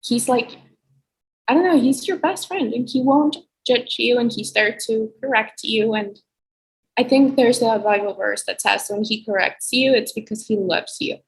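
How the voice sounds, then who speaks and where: A woman's voice: on the high side, moderate pace, rough recording. A young adult woman, a guest on a video-call interview, giving heartfelt, reflective advice about faith and healing. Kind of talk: earnest faith-based advice.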